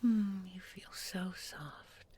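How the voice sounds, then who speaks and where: A woman playing a tender, bookish young village girl in an intimate audio roleplay, speaking softly and gently, often in a whisper. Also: mid-range voice. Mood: tender, soothing. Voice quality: soft, breathy, often whispering. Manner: slow, gentle, murmured with lingering pauses.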